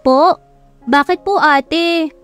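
A woman's voice: high-pitched, extremely slow, no echo, soft background music, pitched above the performer's own voice. A woman voicing an eager, pouting, naughty little boy in a romance audiobook. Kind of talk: romance audiobook character acting.

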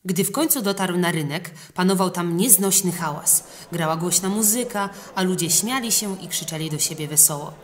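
A woman narrates a children's storybook. Her voice is on the low side, and she reads expressively as an audiobook reader. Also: normal speed, few pauses.

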